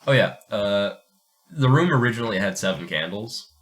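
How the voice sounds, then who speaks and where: A man with a very low voice hosts a podcast on writing. He talks informally and jokes around, and he doesn't mind swearing as he discusses what he has read. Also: animated, normal speed, a bit bright. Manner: informal, profane, jokey. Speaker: podcast host. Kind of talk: casual literary discussion and reading aloud.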